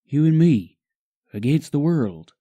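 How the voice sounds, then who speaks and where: A man voicing a gentle young male pony husband in a fan-made audio drama, soft, earnest and comforting. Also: fairly high pitch, very animated, slow, voice pushed up. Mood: devoted, hopeful. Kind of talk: amateur fan-fiction audio drama acting.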